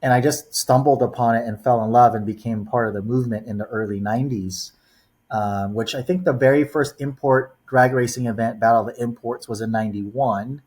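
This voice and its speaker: A man in his forties tells long stories as a podcast guest. He talks in a loose, wandering way, full of "you know" and "um", at an ordinary mid pitch. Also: steady tone, brisk, darkish tone, slightly rough. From born in the Philippines, raised in Los Angeles. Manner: rambling storyteller, heavy on "you know" and "um". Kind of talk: casual podcast interview.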